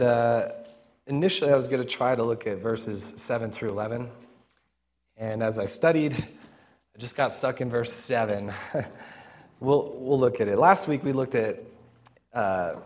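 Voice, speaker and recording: Male pastor, raspy strained voice at medium pitch, informal expository preaching, earnest and conversational. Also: unhurried, long pauses, darkish tone. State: strained, raspy voice, working for volume.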